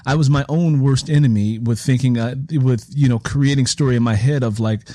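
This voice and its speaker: A man with a medium-pitched voice hosts a conversational interview. He explains his points reflectively as a fellow writer, often circling back over his phrases. The recording is very dry, without room echo. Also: a little fast, mellow.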